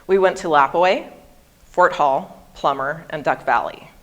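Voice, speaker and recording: A woman's voice: sits low, unhurried. A woman in her early forties from Hawaii, giving a prepared seminar talk and pronouncing each word with care. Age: 40s. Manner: careful, over-articulated pronunciation. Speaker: guest speaker.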